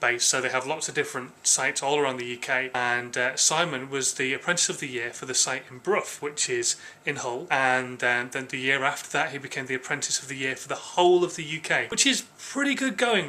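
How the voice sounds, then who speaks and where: A man with an English accent and a cold-hoarsened voice, chatting informally and a little hesitantly to camera as a vlogger. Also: mid-range voice, pushed and bright. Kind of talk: casual vlog talk to camera.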